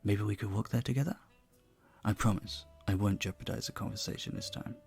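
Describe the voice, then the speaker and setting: A low-pitched male vampire bartender, acted by a man, talks with a marked creak at the edges of his words. He is earnest, polite and gently flirtatious, choosing his words with care in an intimate audio roleplay. Music plays behind him. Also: fairly even intonation, brisk. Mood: warm, flirtatious.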